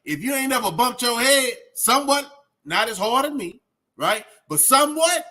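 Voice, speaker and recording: Male streamer, high forceful voice, streetwise casual opinion talk. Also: very animated, moderate pace. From Texas, United States. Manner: streetwise slangy talk with frequent "man" and "bro". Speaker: host of a reaction livestream. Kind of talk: casual opinionated rant to viewers.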